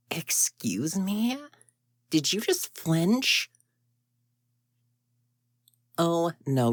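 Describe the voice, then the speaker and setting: A woman's voice: low voice, no echo, clean studio sound. A woman playing an exasperated ghost girl in an audio roleplay, huffy and indignant, often muttering to herself. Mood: annoyed, incredulous. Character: grumpy, exasperated tsundere ghost girl haunting a house.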